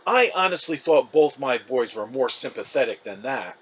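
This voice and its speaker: A man's voice: medium pitch, lively intonation, moderate pace, a bit bright, slight background noise, lightly acted. A man voicing a disappointed, scolding mother of two young boys in a storybook, stern and earnest.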